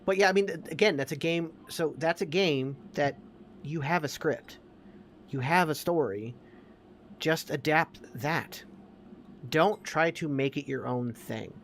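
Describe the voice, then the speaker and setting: A man's voice: fairly high pitch, a little slow, a little hiss or hum. A man co-hosting a podcast, talking casually and emphatically as he gives his opinions. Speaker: podcast co-host.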